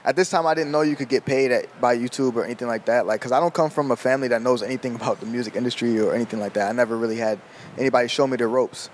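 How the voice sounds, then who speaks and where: A man's voice: mid-range voice, rapid-fire, slight background noise. A man in his twenties chatting casually on a podcast, loose and slangy, laughing as he tells stories. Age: 20s. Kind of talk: casual chat.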